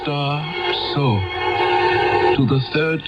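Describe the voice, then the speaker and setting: An actor playing a solemn, commanding master of a mission in an old-time radio drama, formal and incantatory. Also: male voice, on the high side, steady tone, drawn-out words. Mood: ominous, incantatory. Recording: narrow-band old radio recording, loud music.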